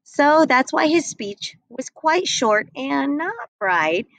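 A young adult woman reading a rhyming picture book aloud at a desk webcam, slow and expressive. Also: sits high, dry recording. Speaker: storybook reader. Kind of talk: read-aloud storytelling. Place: small indoor room.

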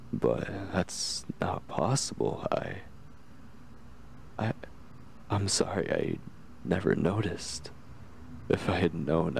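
A young man playing an obsessive yet tender, anxious kidnapper in an intimate ASMR roleplay, speaking softly and hesitantly in a gravelly, reassuring voice. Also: low voice, a little hiss or hum, full character voice. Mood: gentle, curious. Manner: hesitant, halting, gently reassuring.

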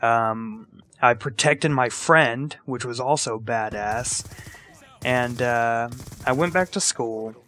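A man's voice: mid-range voice, takes it slowly. A young man casually telling a personal story as a gaming commentary, acting out quotes and swearing freely. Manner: animated retelling with acted-out quotes and casual swearing. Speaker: storyteller and gaming video creator. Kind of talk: casual storytelling over gameplay.

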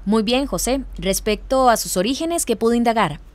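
A woman's voice: a little high, normal speed, lightly acted. A woman with a Costa Rican accent playing an encouraging, composed history professor in an educational radio play.

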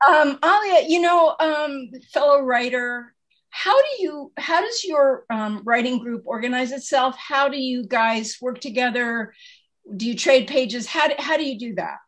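A woman in her sixties with a high-pitched voice joins a video call as an audience member. She talks casually, with emphatic, effusive phrasing. She is a writer speaking from her study at home. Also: expressive, measured pace, poor audio. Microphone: video call computer mic.

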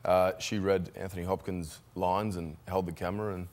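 A young man with an Australian accent, a guest on a talk show, telling rambling anecdotes in a relaxed way and laughing often. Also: sits low, moderate pace. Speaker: actor guest. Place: television studio. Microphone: guest desk microphone.

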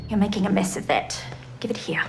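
An actress playing a sharp, sardonic scientist in a video game, blunt and probing. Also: female voice, mid-range voice, fairly quick, vocal fry, in a whisper, close to an everyday voice, poor audio. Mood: wry, probing. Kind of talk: video game cutscene acting. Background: music.

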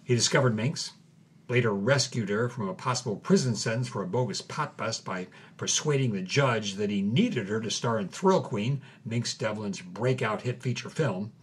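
Husky man hosting a scripted podcast. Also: lowish voice, normal speed. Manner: dramatic, reads documents aloud. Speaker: host.

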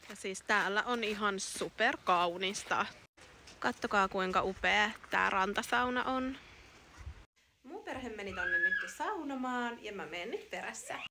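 A woman in her thirties from Finland, filming a family travel vlog on a phone held at arm's length and chattering brightly to the camera and her children. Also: mid-range voice. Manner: bubbly, enthusiastic, talks to camera and her kids. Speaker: family vlogger. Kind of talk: casual travel vlog narration. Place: car, shops, hotel and outdoors. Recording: phone audio with steady background noise.

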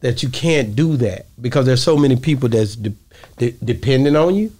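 Host guy, mid pitched, hyping up his guest, slangy and easy. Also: expressive, normal speed, darkish tone. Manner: encouraging, slangy, hypes the guest. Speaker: podcast host, interviewer. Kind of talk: casual interview chat.

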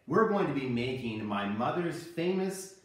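A man in his thirties presenting a cooking lesson for children, playing the animated straight man. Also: medium pitch, unhurried. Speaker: host of a children's cooking show. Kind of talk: scripted instructional presenting with puppet banter. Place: home kitchen. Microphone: camera a few meters away. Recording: very echoey room, distant microphone.

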